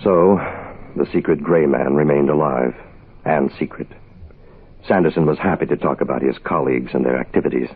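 An actor playing a terse private investigator, clipped and deadpan, in the style of 1960s radio noir. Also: male voice, very deep voice, moderate pace, vocal fry, breathy edge, heavily acted. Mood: reflective. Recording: hissy, phone-like vintage radio recording.

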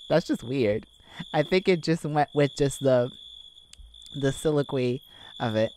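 A woman playing a playful, giddy young boyfriend, swooping pitch. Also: expressive, slow, mellow, a little hiss or hum, exaggerated voice, rough recording. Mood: fond reminiscing. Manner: giggly, cutesy, playful. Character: giddy, affectionate 21-year-old boyfriend, playful and childlike. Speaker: audio roleplay performer. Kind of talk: ASMR romantic roleplay.

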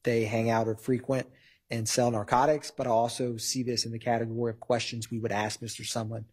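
A man arguing to a judge as a prosecutor in a courtroom, formal but halting, with a husky voice. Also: mid-range voice, flat delivery, a little mellow. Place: large wood-paneled courtroom.

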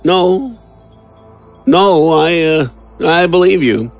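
Creaky, drawling sardonic villain, played by a man. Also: a little high, very animated, drawn-out words, soft background music, full character voice. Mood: submissive. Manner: sarcastic, drawling. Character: sardonic, crude male villain's henchman, cruelly gleeful. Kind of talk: audio drama acting.

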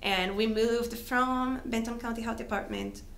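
A woman's voice: on the high side, big pitch swings, unhurried, a bit bright. A woman in her thirties, born and raised in Brazil, speaking in a friendly, thoughtful way with frequent ums as a guest on an interview show. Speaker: guest, health coalition coordinator. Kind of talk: casual interview talk. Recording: echoey room with faint hiss.

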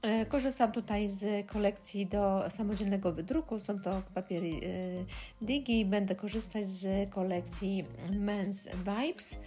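A woman talking through a step-by-step scrapbooking tutorial, pausing often with filler sounds. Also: mid-range voice, dark tone, soft background music. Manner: hesitant, many filler sounds. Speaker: crafting tutorial host. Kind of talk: step-by-step craft tutorial voice-over.

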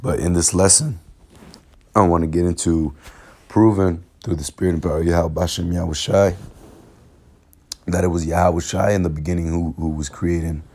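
Sick-sounding man, raspy and hoarse, deep voice, stumbles and repeats himself while teaching scripture. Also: fairly even intonation, a little mellow. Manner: halting, repeats words, many fillers. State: hoarse from illness. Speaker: religious teacher. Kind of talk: informal bible lesson over screen recording. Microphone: phone screen recording.

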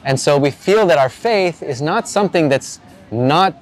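A man's voice: a little high, animated, moderate pace. A man in his forties interviewing a stranger outdoors by the sea, asking earnest, probing questions with frequent restarts. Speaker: street evangelist interviewer. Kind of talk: street interview about faith. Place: outdoors by the ocean.

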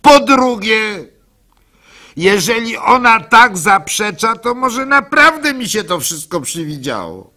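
An actor playing a gullible, soft-hearted village baker who tells his story in a halting, plaintive way for a radio play. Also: high-pitched, sing-song, heavily acted. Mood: pleading, self-doubting. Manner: halting, stammering, plaintive, swinging between hushed and loud. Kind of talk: dramatic first-person storytelling for a radio play.

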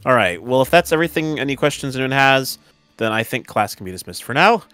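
Man acting fast-talking, patient robot professor. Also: mid-range voice, lively intonation, pushed and bright, dry recording, soft background music. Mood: patient, instructing. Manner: halting, explanatory classroom talk. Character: robot professor, patient and fussy, teaching a class. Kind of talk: Minecraft roleplay acting.

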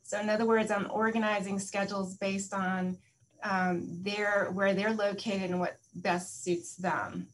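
A woman's voice: mid-range voice, flat delivery, measured pace, poor audio. A middle-aged woman speaks from an office over a webcam. She answers questions in an explanatory way and often repeats "in other words". There is faint noise in the background. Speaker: college program guest. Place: office indoors. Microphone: video call webcam.

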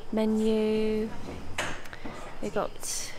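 A woman with a slightly croaky voice giving a slow, gentle, partly whispered tour of a quiet café. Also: medium pitch, completely flat delivery, noisy background. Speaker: ASMR vlogger giving a tour. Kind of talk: soft-spoken whispered tour. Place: empty indoor café with people chatting nearby. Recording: background chatter from a nearby meeting.

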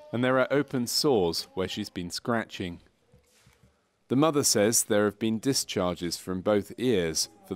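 Guy with a fairly low voice reading narration for a medical training film, measured and matter of fact. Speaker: documentary narrator.

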